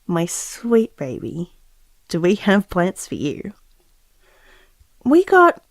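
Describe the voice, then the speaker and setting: A woman's voice: mid-range voice, a little mellow, no echo. A woman acting a doting expectant mother who muses affectionately and wryly, as if taping an audio diary for her baby. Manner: affectionate, musing, wryly self-aware. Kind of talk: intimate audio diary monologue in a drama.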